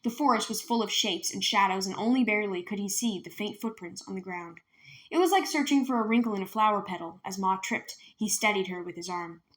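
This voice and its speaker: A woman's voice: mid-range voice, brisk, fairly bright tone. Woman reading a children's novel aloud. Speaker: read-aloud storyteller. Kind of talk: scripted children's book narration.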